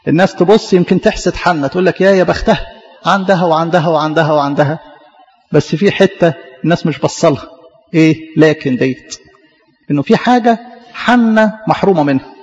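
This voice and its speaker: A man's voice: fairly high pitch, quick pace, darkish tone, long reverb tail, lo-fi sound. A man preaching a sermon in an Egyptian Arabic accent, often asking questions and answering them himself. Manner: questioning, repetitive.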